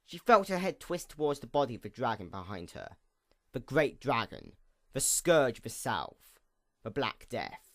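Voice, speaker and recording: Male storyteller for dramatic fantasy narration; highly animated pitch swings, mid-range voice, deliberate phrasing. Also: vocal fry.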